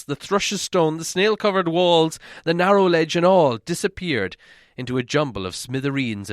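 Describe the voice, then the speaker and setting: A man reading an audiobook as a dramatic storyteller, expressive and theatrical. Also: mid-range voice, no echo, studio quality. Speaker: audiobook reader.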